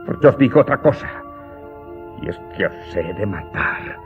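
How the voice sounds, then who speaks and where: Deep-voiced vengeful Corsican servant. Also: male voice, sing-song. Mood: fierce vengeance. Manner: deliberate, dramatic storytelling with emphatic outbursts. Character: vengeful Corsican servant confessing a killing to his master. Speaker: voice actor in an audio drama. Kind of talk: dramatized radio drama monologue. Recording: dull, muffled audio with loud music underneath.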